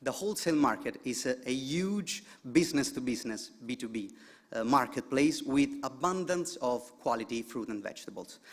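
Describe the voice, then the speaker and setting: A man from Italy, giving a direct pitch presentation and asking the audience questions. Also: lively intonation, normal speed. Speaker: student presenter.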